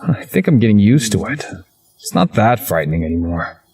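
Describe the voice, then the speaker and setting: Haunted young male game narrator: husky, very animated delivery, introspective and wry, dramatic storytelling. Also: lowish voice, brisk, heavily acted. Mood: uneasy. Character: haunted, wry young man narrating his eerie life. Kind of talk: visual novel game narration.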